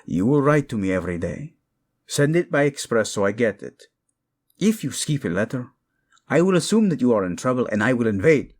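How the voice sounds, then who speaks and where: An actor playing a protective, lovesick king in a romantic audio drama, speaking tenderly and haltingly with a Spanish accent. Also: medium pitch, big pitch swings, a little mellow. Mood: worried, commanding. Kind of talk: intimate romantic audio drama acting addressed to listener.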